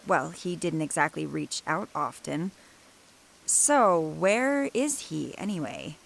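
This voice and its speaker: A woman's voice: deep voice, lively intonation, slow, gravelly, full character voice. Nervy sarcastic college girl in an audio drama, casual, kinda crackly voice, super up and down. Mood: impatient. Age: young adult. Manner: casual, hesitant, muttering to herself. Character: Noelle, skittish, sardonic young woman college student. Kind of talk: naturalistic audio drama acting.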